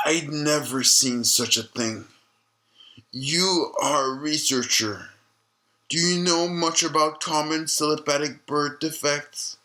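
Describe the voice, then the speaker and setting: Man acting a slow-spoken, haunted elderly doctor. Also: medium pitch, sharp tone. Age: older. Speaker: retired obstetrician being interviewed. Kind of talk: dramatized audio-log testimony.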